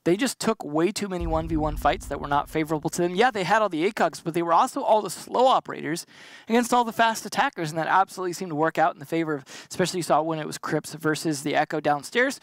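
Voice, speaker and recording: A man with a slightly high voice working as the color commentator on a competitive shooter broadcast. He breaks down positioning and fights calmly and analytically, with a dry wit. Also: quick pace, dry recording, low-quality recording. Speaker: esports analyst.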